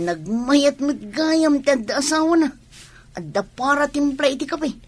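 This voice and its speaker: A woman playing a devoted, hardworking young spouse with a husky voice and an Ilocano accent in a radio drama. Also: sits high, animated, unhurried. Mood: affectionate, practical.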